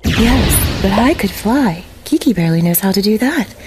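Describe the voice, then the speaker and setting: A woman voicing a gentle, worried witch mother in an animated film fandub, patient and reassuring. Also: medium pitch, normal speed, over music, lightly acted. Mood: resigned, worried.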